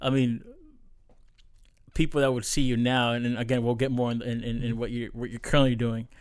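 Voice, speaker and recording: A man's voice: medium pitch, little pitch movement, brisk, smooth, no echo, studio quality. A man from California hosting a podcast in casual chat, loose and talkative, with lots of fillers and restarts. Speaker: podcast host.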